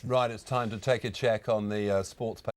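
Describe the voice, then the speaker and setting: A man's voice: fairly low pitch, fairly even intonation, moderate pace, slight background noise. A British man presenting sports news in broadcast style.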